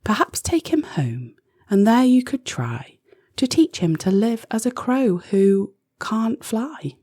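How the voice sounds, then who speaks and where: A woman voicing a male vet in a children's story, gentle and regretful as he gives advice. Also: a little high, sing-song, takes it slowly, slightly rough, no echo, studio quality, voice pushed up, exaggerated voice.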